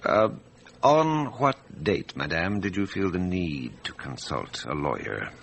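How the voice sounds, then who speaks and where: Deep-voiced, formal examining magistrate. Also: male voice, big pitch swings, creaky, slightly rough, exaggerated voice. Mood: courteous, formal. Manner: formal, deliberate questioning with hesitations. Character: formal examining magistrate, male, probing and courteous. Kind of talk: radio drama acting.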